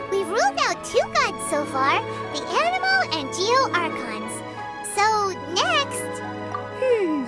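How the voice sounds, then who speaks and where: Video game fairy sidekick: tiny, perky, very high squeaky child voice, swooping delivery, music behind. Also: female voice, exaggerated voice. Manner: refers to self in third person, exclamatory. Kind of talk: video game character dialogue. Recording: background music under voice.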